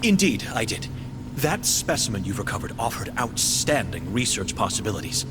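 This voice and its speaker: A man's voice: fairly low pitch, very animated, moderate pace, music in the background. A man playing an eager, self-important scientist in a video game, with a pompous, florid manner. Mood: fascinated, pompous. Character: eager, self-important male scientist doctor, morbidly fascinated. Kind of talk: cinematic video game voice acting.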